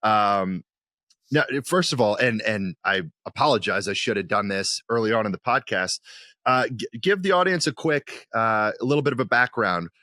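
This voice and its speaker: A man's voice: fairly low pitch, lively intonation, normal speed, pushed and bright, no room sound, studio quality. A man hosting a podcast, interviewing conversationally and feeling his way through wordy questions.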